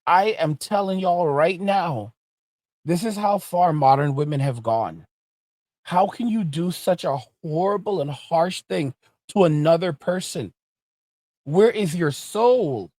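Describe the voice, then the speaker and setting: A man's voice: fairly high pitch, lively intonation, slow, darkish tone, no room sound. A man hosting a reaction commentary channel, ranting in an indignant tone.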